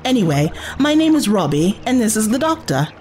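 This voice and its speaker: A woman's voice: on the high side, very animated, fairly quick, a little mellow, slight background noise. A woman playing a caring, bold young companion to an adventurer, earnest and coaxing, in an audio drama. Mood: gentle, earnest.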